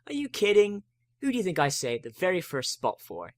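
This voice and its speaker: A man's voice: sits high, wildly swooping pitch, brisk, no echo, voice pushed up. A man acts out a bookish young unicorn mare from a fantasy story. The character talks earnestly and hesitantly, worried and doubting herself. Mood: reassuring.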